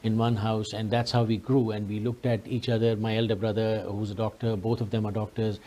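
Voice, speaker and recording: A man's voice: on the low side, flat delivery, a little fast, warm tone. A middle-aged man from Pakistan with a Pakistani accent, speaking courteously as an interview guest and telling his own story conversationally.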